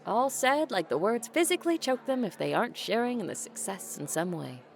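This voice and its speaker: An actress playing a sardonic, resentful adult daughter of a tycoon in an audio drama, dryly sarcastic and teasing. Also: female voice, mid-range voice, very animated, a little fast, vocal fry, some chatter behind. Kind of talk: naturalistic audio drama acting.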